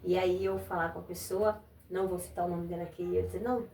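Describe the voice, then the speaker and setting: A woman from Brazil hosting a religious interview podcast, affirming her guest with frequent amens and gently preaching. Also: lowish voice, steady tone, fast talker. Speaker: podcast host. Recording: echoey room, voice a bit far from mic, faint noise.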